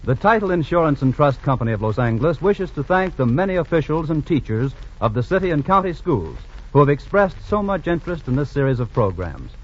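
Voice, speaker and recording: A man's voice: fairly high pitch, sing-song, fairly quick, few pauses. A man making formal scripted announcements in the style of a 1940s radio program. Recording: dull, hissy vintage radio recording.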